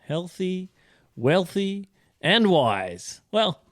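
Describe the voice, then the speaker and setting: A man in his thirties co-hosting a casual chat, playful and teasing, with bouncy jumps in pitch. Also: very animated, extremely slow, dry recording, clean studio sound. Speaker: podcast co-host, English teacher.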